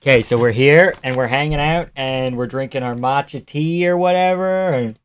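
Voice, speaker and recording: A man's voice: medium pitch, moderate pace, smooth, no echo. A young man hosting a live stream, chatting casually and sarcastically while reading viewer comments. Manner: sarcastic, offhand, swears and laughs often.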